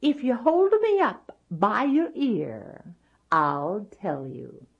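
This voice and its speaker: Woman as an unruffled, husky old grandmother. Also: mid-range voice, huge pitch swings, lo-fi sound, full character voice. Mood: feigned deafness, sly. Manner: mild, unhurried, unruffled. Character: unflappable, hard-of-hearing old grandmother, plump and busy. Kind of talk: oral folktale storytelling.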